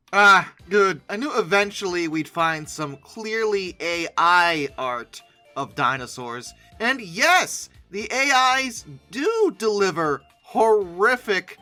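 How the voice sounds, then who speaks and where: A comedy host gives off-the-cuff commentary with a bright, sharp voice and very animated pitch. The delivery is theatrical and sarcastic, taking its time between exclamations. Music plays underneath. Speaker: host of a comedy reaction video. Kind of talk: off-the-cuff comic commentary on images.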